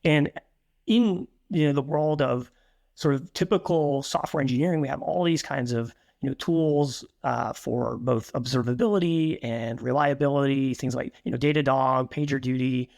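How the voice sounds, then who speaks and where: A man's voice: medium pitch, moderate pace, vocal fry, darkish tone, dry recording. A man in his thirties hosting a podcast, asking questions in a thoughtful way with many fillers, into a studio mic at home. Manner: thoughtful, lots of you knows. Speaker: podcast host. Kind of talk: interview questions. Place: home room. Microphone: studio mic on a boom arm.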